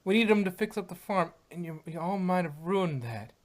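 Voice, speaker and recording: A man's voice: high-pitched, animated, fairly quick, pitched above the performer's own voice. A man voicing an emotional, hot-tempered female farm pony in an audio story. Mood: angry, upset. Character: hot-tempered farm mare pony, distraught then guilty.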